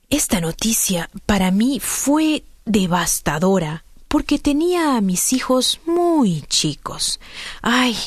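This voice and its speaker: Slow, heartfelt woman. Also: medium pitch, no echo, clean studio sound. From Peru. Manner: heartfelt, emotional storytelling. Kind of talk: read personal testimony.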